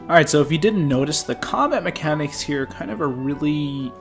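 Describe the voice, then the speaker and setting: A man's voice: fairly high pitch, lively intonation, brisk, faint music behind. Congested man giving a casual game preview. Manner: casual, chatty, spontaneous. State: congested, nasal from an infection. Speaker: game editor presenting a preview. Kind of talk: gameplay preview commentary.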